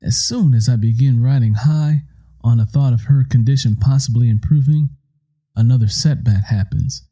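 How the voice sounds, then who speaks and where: A man narrating a novel in the first person, reading in a measured, deliberate, dramatic way. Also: mid-range voice, dark tone, slightly rough, dull audio. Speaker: audiobook narrator.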